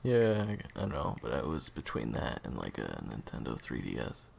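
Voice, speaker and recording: A man's voice: a bass voice, huge pitch swings, moderate pace, gravelly, darkish tone, slight background noise, heavily acted. A man playing a weary, evasive assassin in an audio roleplay, talking in a dry, hesitant, sarcastic way. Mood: awkward, rueful. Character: sardonic male assassin captor, weary and evasive.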